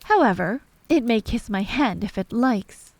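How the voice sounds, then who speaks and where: A woman's voice: on the high side, lively intonation, a little slow, darkish tone, no room sound. Woman acting a pompous, fussy storybook king. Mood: haughty disdain. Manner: haughty, emphatic. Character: pompous, fussy, imperious king of storybook Wonderland, adult male.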